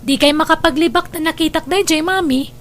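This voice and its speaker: A woman's voice: high-pitched, brisk, a bit bright. A woman playing a scheming, greedy young adopted daughter with an Ilocano accent in a radio drama. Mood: tattling, urgent.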